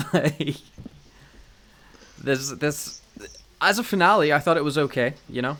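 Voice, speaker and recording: A man's voice: fairly high pitch, expressive, slow pace, bright, forceful tone, a little hiss or hum. Jokey man chatting on a podcast. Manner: jokey, wry, laughs often. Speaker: podcast co-host. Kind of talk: casual chat reviewing audio dramas.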